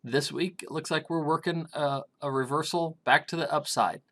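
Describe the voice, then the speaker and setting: A middle-aged man with a raspy voice, a grain market consultant giving casual commentary over charts, pausing often with ums and restarts. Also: on the high side, steady tone, bright, forceful tone. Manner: hesitant, many ums and restarts.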